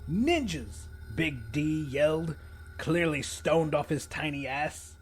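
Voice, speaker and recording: A man's voice: fairly high pitch, fairly even intonation, slow pace. A man narrating first-person fiction as a dramatic audiobook reader.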